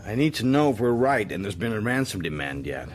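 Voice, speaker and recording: A man's voice: on the low side, big pitch swings, a little fast, a little hiss or hum. An actor with an American accent playing a controlled, determined, secretive man who also narrates a radio drama. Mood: thoughtful. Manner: controlled, insistent. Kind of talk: radio drama acting with first-person narration.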